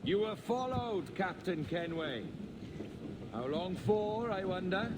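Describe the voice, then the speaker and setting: A man's voice: sits high, animated, slow pace, noisy background. A man playing a cunning, cynical pirate captain in a video game, theatrical and taunting. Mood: cool warning. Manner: theatrical, taunting, rhetorical questions. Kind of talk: dramatic video game acting.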